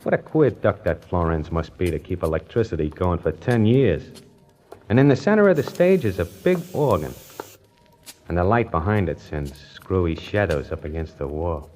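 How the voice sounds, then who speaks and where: A man's voice: low voice, lively intonation, moderate pace, a little mellow, a little hiss or hum. An actor playing a brash, jumpy small-time hustler who narrates his own story in wry, colloquial 1940s radio suspense style. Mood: uneasy, hushed. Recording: vintage radio broadcast with music.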